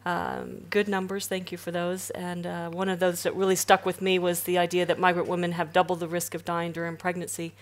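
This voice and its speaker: A middle-aged woman moderating a stage panel, introducing speakers in a smooth, practiced way. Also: on the low side, completely flat delivery, fairly quick, hardly any pauses. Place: stage in a hall with audience.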